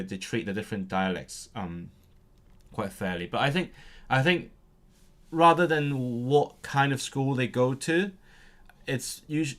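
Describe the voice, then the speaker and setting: A man's voice: medium pitch, fairly bright tone. A young man chatting casually on a live stream while he studies, breaking off to repeat practice words aloud. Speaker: language learning streamer.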